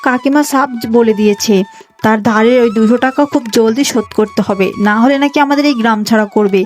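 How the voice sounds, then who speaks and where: A woman's voice: on the high side, a little fast, soft background music, lightly acted. A woman voicing a poor, dutiful young village daughter in a cartoon story, plaintive, earnest and emotional. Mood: distressed, pleading. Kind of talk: Bengali cartoon moral-story voice acting.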